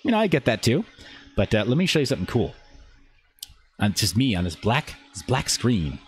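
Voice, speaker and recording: Male livestream host, informal and enthusiastic tech talker, medium pitch, audible background noise. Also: sing-song, brisk. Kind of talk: casual livestream tech talk.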